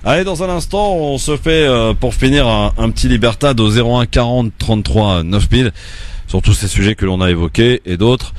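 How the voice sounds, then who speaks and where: A man's voice: medium pitch, expressive, normal speed. A man hosting a radio call-in show, chatting easily with many fillers into a studio mic.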